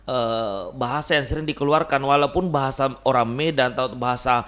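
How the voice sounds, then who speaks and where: A man from South Sulawesi with a Makassarese accent, chatting casually as a podcast guest in an animated, punchy way. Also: mid-range voice, a little fast. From Bulukumba, South Sulawesi, Indonesia. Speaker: guest content creator.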